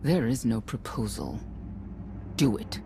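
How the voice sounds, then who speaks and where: A woman's voice: a bass voice, expressive, slow pace, dark tone, slight background noise, heavily acted. A woman playing a stern, righteous warrior and former knight in a video game, with a terse, blunt, commanding delivery. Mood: firm, terse. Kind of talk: video game cutscene acting.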